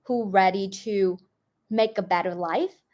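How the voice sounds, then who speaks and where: A woman's voice: medium pitch, unhurried, a little mellow. A young woman from northern Vietnam with a Northern Vietnamese accent, speaking English as a second language and telling stories casually on a livestream, full of "like" fillers and restarts. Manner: chatty, many "like" fillers and restarts. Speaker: YouTuber.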